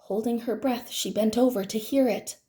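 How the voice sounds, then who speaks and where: A woman teacher reading a picture book aloud to children in a deliberate, expressive storytelling manner. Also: on the high side.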